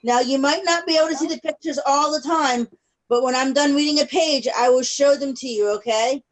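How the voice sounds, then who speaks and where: A woman with a high voice reads a storybook aloud to small children. She speaks as a storyteller, moving between the text and simple questions to her young listeners, over a video call. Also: low-quality recording. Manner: pauses to check in with young listeners.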